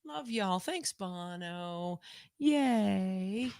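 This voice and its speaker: Woman, casual co-host, rambly and repetitive, mid pitch, dry desk-mic sound. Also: animated, extremely slow, darkish tone, clear, resonant tone, no room sound. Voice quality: voice lower than usual today. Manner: rambling, chatty, repeats herself. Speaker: podcast co-host. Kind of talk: casual livestream chat. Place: home.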